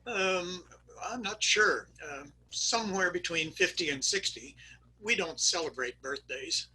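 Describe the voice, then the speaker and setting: A man's voice: high-pitched, lively intonation, measured pace, pushed and bright, slightly rough, heavily acted, low-quality recording. A man playing a flustered middle-aged husband who hems and haws about his missing wife, read over a video call for a readers theater skit. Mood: vague. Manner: hesitant and vague about his wife, then eager and detailed about his truck.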